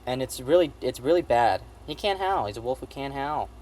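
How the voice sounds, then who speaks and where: Male amateur film critic, mid-range voice; halting, repetitive, sweary, casual comedic delivery. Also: medium pitch, animated, darkish tone, rough recording. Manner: halting, repeats phrases emphatically, profane. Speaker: amateur movie reviewer. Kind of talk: rambling casual comedic movie review.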